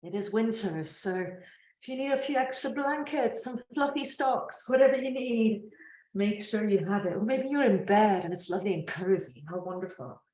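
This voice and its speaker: An echoey room carries the voice of a woman leading a guided relaxation. She speaks at a middle pitch in a gentle, soothing manner. Her phrases are unhurried and set apart by long pauses. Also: lively intonation. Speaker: yoga nidra teacher.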